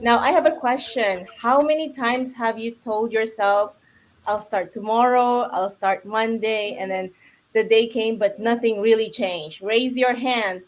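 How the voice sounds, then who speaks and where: A woman with a fairly high voice and a Filipino accent gives an informal motivational talk. She is chatty and self-deprecating, and often turns to her listeners with questions. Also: unhurried. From Philippines. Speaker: guest speaker on a livestream.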